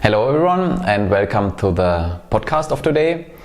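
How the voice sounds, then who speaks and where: A man's voice: lowish voice, very animated, normal speed, slight background noise. A man hosting a podcast, asking questions and summing up the guest's points in a friendly way. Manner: friendly, asks and sums up questions. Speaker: podcast host. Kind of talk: casual podcast interview.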